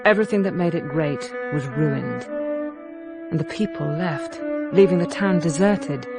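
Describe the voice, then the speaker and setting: An actress playing a grave, hopeful noblewoman ruler, narrating game cutscenes in a solemn, measured, earnest way. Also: low voice. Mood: somber, grieving. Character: grave, hopeful noblewoman ruler rebuilding her war-torn land. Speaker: campaign narrator. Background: background music.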